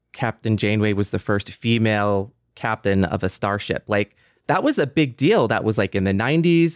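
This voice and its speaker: Smooth, low-voiced man in earnest discussion. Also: no echo, clean studio sound. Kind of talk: casual panel discussion.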